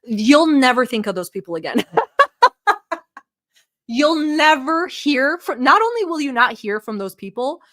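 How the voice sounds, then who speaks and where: A woman's voice: high-pitched, very animated, unhurried, pauses often, fairly bright tone. A woman from Turkey hosting a live stream, giving blunt, mocking and profane commentary while talking to her chat. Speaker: livestream host reacting to a video.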